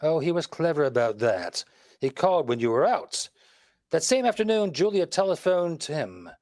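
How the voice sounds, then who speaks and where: Man playing a lively, higher-voiced radio detective. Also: moderate pace, no echo, clean studio sound. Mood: confident, explanatory. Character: shrewd, wisecracking male detective laying out a murder case. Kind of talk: 1940s radio mystery acting.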